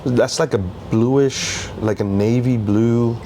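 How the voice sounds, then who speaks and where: A man co-hosting a casual podcast, talking in a relaxed, easygoing way. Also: mid-range voice, slow pace, a little hiss or hum. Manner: relaxed, easygoing, many fillers. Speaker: podcast co-host. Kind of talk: casual chat.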